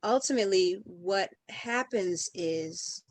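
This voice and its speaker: A woman's voice: medium pitch, slow. A woman speaking as a podcast guest in conversation, emphatic and building her points through repeated phrases.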